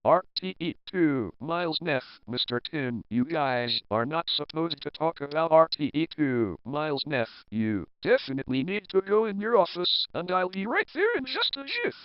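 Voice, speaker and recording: A woman plays a scolding control-room boss in a drama, emphatic and repetitive. The voice is low for a woman and leaps around in pitch, on a muffled recording. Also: very animated, normal speed, few pauses, smooth. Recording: dull, dry lo-fi audio.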